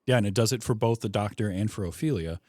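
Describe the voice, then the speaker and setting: A man's voice: fairly low pitch, fast, a little mellow, dry recording, studio quality. A man hosting a podcast, discussing a film in a casual, conversational way. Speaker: podcast host.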